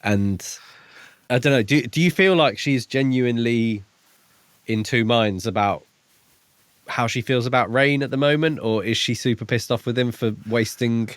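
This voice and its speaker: A man co-hosting a podcast in casual book chat, phrasing his thoughts hesitantly with pauses. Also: medium pitch, dry recording. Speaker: podcast co-host.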